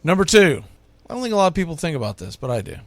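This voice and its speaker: Male sports radio host, slightly high register, emphatic phrasing with dramatic pauses, dry close sound. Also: big pitch swings, dry recording. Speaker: baseball radio host and play-by-play announcer. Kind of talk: opinionated sports monologue, counting down a list.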